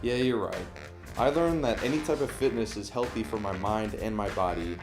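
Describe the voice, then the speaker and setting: A man's voice: lowish voice, moderate pace, music in the background, natural voice. A young man acting in a student skit as a friend who is wary of the gym, delivering his lines plainly and a little stiffly. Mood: reluctant.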